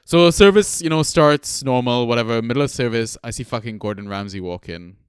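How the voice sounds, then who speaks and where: A man's voice: mid-range voice, brisk, smooth, no room sound, studio quality. Casual podcast chatter from a young trinidadian guy, lots of ums and swearing, resonant tone. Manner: laid-back, swears freely, lots of fillers. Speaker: podcast co-host. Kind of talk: casual chat, storytelling.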